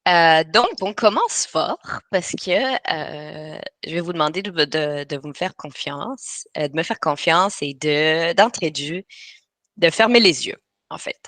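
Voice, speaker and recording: A woman from Brussels hosts an online writing workshop in a bright, forward voice with a Belgian lilt. She speaks in eager bursts full of fillers and self-corrections. Her sound is close and dry, like a video call from a small home office. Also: low voice, unhurried, no room sound. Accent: Belgian French. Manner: talks in bursts with many fillers and restarts. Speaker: writing coach and webinar host. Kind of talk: live webinar presentation.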